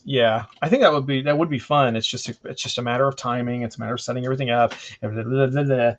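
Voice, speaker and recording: A man in his thirties hosts a drawing stream in a loose, chatty way. He rambles and jokes with playful exclamations, in a medium-pitched voice. The audio is a little dull and lo-fi. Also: fairly even intonation, fast. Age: 30s. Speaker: artist hosting an art livestream. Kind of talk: casual livestream chat while drawing.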